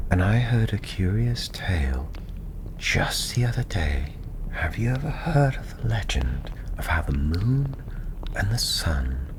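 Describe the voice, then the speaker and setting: A man playing a welcoming fantasy tavern storyteller, speaking softly and soothingly in a gentle, half-whispered ASMR style over clear fireside ambience. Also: extremely low pitch, full character voice. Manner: hushed, gentle, unhurried, often half-whispered. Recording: clearly audible ambient background noise.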